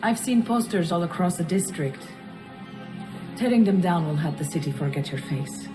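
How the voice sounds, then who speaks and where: A woman playing a worldly Florentine advisor in a video game, calm and deliberate, with an Italian accent. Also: lowish voice, natural voice. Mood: cool, advising. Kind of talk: dramatic video game dialogue. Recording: music playing behind the voice.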